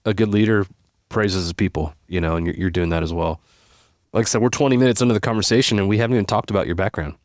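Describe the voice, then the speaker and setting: A man hosting a podcast interview, affirming his guest with quick, upbeat replies and praise. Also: fairly low pitch, darkish tone, dry recording, studio quality. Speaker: podcast host.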